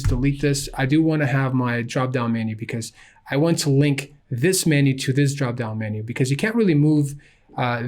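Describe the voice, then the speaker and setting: Casual man walking through a software tutorial. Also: medium pitch. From Ukraine. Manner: casual, walks through steps with frequent fillers. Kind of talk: screen-recorded software tutorial. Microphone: desk microphone.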